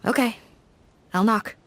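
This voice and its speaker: An actress voicing a calm, measured and worldly game character who reasons through events. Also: female voice. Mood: serious. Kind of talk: video game voice acting.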